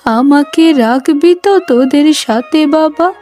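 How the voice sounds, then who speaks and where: An older, remorseful mother-in-law , acted by a woman, speaks plaintively in a high, mellow voice. Her solemn cartoon-drama delivery moves very slowly, with faint music behind it. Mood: tearful grievance. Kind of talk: emotional cartoon story acting.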